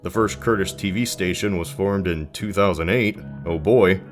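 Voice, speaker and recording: A man with a low voice narrates a scripted explainer. His phrasing is dry and emphatic, dropping into conversational asides, and music plays behind him. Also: moderate pace. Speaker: video essay narrator.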